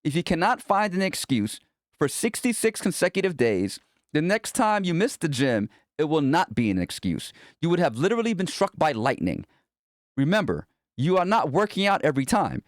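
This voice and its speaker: A man's voice: fairly high pitch, sing-song, normal speed, no room sound, studio quality. A man reading his own self-help book aloud in a conversational, motivational way, speaking directly to the listener. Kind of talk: scripted self-help audiobook narration.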